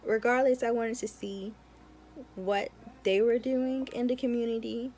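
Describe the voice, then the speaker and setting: A woman's voice: fairly high pitch, steady tone, unhurried, a little mellow. A young adult woman, a law student, chatting informally and giving advice in a casual vlog with emphatic repetition.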